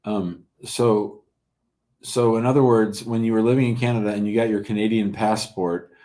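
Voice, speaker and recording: A man's voice: fairly low pitch, fairly even intonation, moderate pace, warm tone. A man hosting a call-in show, giving informal legal advice in a blunt, probing, conversational way. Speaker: host taking callers' immigration questions.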